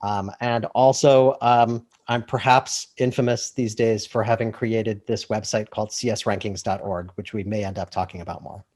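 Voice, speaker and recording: A man's voice: on the low side, fairly even intonation, normal speed, hardly any pauses, darkish tone. A man from Florida, a professor, introducing himself on a video call with jokes and many fillers. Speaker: professor, panelist. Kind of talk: panel introduction.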